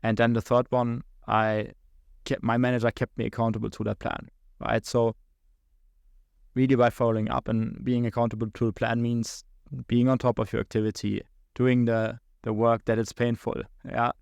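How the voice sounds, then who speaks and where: Young German-accented man, podcast guest; gravelly, monotone, halting and filler-heavy. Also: mid-range voice, dry recording, clean studio sound. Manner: halting, many restarts and fillers, laughs between thoughts. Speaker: guest, account executive. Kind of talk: podcast interview.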